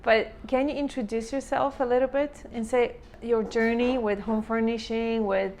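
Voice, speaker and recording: A woman's voice: fairly high pitch, fairly even intonation, normal speed, a little mellow, slight background noise. A woman hosting an interview, asking long, searching questions and giving frequent small acknowledgments. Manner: rambling questions, many backchannels. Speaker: interviewer host.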